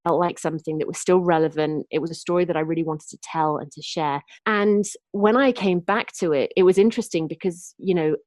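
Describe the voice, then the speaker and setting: A woman in her late thirties talks as an interview guest, with a slightly low pitch. She reflects in a thoughtful, measured way, and the sound is dry and close. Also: darkish tone, dry recording. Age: late 30s. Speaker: guest author.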